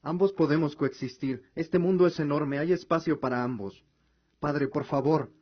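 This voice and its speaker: A man's voice: a little high, normal speed, close to an everyday voice. A man playing an earnest, idealistic young prince and son in a scripted audio drama. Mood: earnest pleading. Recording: dull lo-fi recording.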